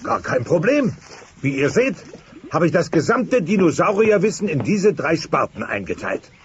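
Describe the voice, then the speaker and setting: Blustering high-pitched dinosaur dad. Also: male voice, big pitch swings, normal speed, noisy background. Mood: pompous. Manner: pompous, mock-logical lecturing. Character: blustering dinosaur family father, dim but cocksure. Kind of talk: German-dubbed TV comedy audio play. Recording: dull old cassette with loud music.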